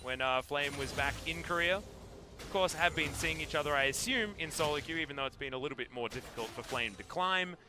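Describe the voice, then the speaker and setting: Male esports caster, voice a touch high, conversational play-by-play, clear music bed underneath. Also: brisk. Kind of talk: live esports commentary with bantering chat.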